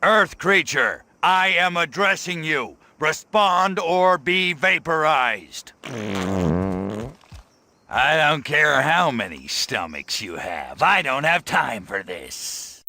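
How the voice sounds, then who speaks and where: Video game alien invader: arrogant, sarcastic, very bright cutting voice, slow weighty delivery. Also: male voice, on the high side, very animated, exaggerated voice. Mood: irritated. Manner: imperious, sarcastic, gruff. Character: small grey alien invader, irritable, arrogant, trigger-happy.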